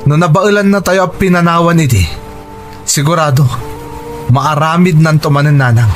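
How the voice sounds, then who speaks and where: A man's voice: fairly high pitch, moderate pace, soft background music, close to an everyday voice. Man acting a wounded, resentful Ilocano son. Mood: bitter. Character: resentful, wounded grown son, unwilling to forgive. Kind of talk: radio drama acting.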